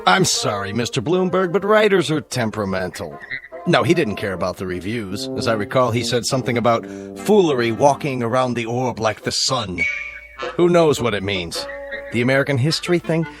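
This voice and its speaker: An actor playing a harried, fawning agent with a wheedling, effusive manner in a comedic radio drama. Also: male voice, mid-range voice, lively intonation, brisk, music in the background, natural voice. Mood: apologetic.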